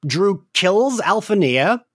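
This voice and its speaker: A man co-hosting a casual recap chat, talking in an animated, conversational way with the odd swear word. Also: high-pitched, takes it slowly, no room sound, clean studio sound. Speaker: podcast co-host.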